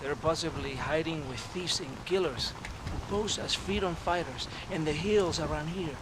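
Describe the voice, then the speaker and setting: A man's voice: fairly high pitch, normal speed, noisy background, lightly acted. A man playing a theatrical Mexican army captain in a video game, menacing yet jovial, with a Mexican accent. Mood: scheming. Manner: theatrical, swinging from mock threat to lament. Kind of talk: video game character acting.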